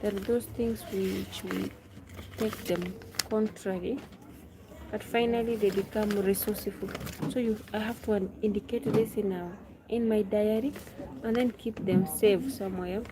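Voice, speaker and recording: Kenyan lady chatting on a livestream, really slow pace, repeats herself, noisy background. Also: medium pitch, steady tone. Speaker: live streamer. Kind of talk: casual chat, reading and answering viewers' questions.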